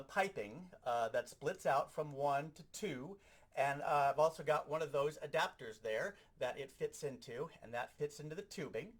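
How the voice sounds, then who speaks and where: A man giving an animated, explanatory, hands-on lesson as a sound effects instructor. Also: fairly high pitch.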